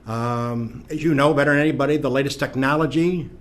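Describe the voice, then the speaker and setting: A middle-aged man hosts a local talk show at a middle pitch. He rambles in a chatty way and leans on frequent fillers. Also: fairly even intonation, fairly quick. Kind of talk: casual talk show hosting.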